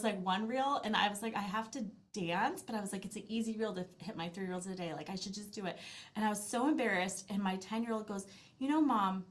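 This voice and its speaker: A middle-aged woman talking casually as an interview guest, telling stories in a chatty, self-deprecating way. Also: medium pitch. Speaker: podcast guest, language-learning business owner. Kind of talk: casual interview conversation, anecdotal.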